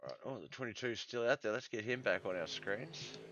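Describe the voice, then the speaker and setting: An Australian man doing relaxed play-by-play commentary on a live-stream sim race, reading out lap times, with a hoarse, congested voice. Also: lowish voice, a little fast, muffled recording, heavy background noise. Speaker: sim racing league commentator.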